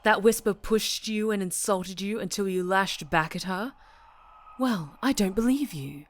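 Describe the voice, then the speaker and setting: A woman's voice: medium pitch, lightly acted. A woman playing an obsessive, domineering superhero captor in a dramatic ASMR roleplay, speaking with volatile, condescending menace. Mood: stern interrogation. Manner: slow, menacing, condescending, breaking into whispers and shouts.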